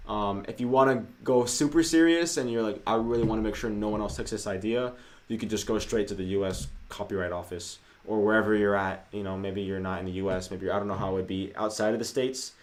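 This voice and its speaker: A man's voice: lowish voice, steady tone, fast talker. A man co-hosting a casual livestream, talking through viewer questions in a loose, conversational way. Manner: conversational, many fillers, hedging asides. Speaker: co-host.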